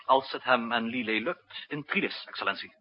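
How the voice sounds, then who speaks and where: A man acting a deferential, husky-voiced aide. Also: medium pitch, moderate pace, natural voice. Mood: reporting. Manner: dutiful, formal, stumbles over titles. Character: deferential, flustered male aide to the president. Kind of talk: 1960s Dutch radio drama acting. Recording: muffled old radio broadcast recording.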